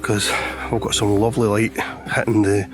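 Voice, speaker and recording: A hoarse man, chatting casually as he vlogs outdoors about landscape photography, with many pauses and fillers. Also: on the low side, flat delivery. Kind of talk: casual vlog narration. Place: outdoors on a lakeshore, walking. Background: background music.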